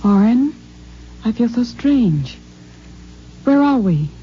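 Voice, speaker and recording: A woman's voice: on the high side, very animated, takes it slowly, mellow, a little hiss or hum. An actress playing a devoted young woman on the run in a 1950s science fiction radio drama, earnest, breathless and dramatic. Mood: anxious. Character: devoted young woman fleeing an underground future society. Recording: dull, humming 1950s radio recording.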